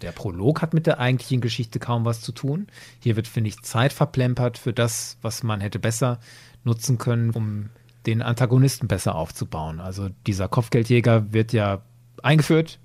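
A man's voice: medium pitch, moderate pace, darkish tone, no room sound. A man hosting a podcast, giving his opinions analytically in a conversational review discussion. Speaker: podcast host.

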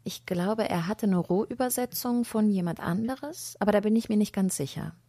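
A woman voice actress being interviewed, speaking in a lively, friendly way and laughing often. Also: mid-range voice, normal speed, no room sound. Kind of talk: casual interview chat.

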